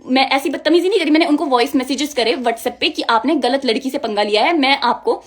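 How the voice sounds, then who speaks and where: A woman's voice: sits high, brisk. A young woman, giving an indignant, emphatic personal account straight to her viewers. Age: young adult. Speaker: music video model.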